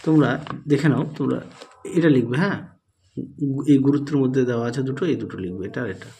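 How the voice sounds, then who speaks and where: A man's voice: mid-range voice, expressive, quick pace, warm tone, a little hiss or hum. A man teaching, dictating exam answers and often repeating key points. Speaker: teacher presenting exam solutions. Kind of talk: instructional walkthrough of questions and answers.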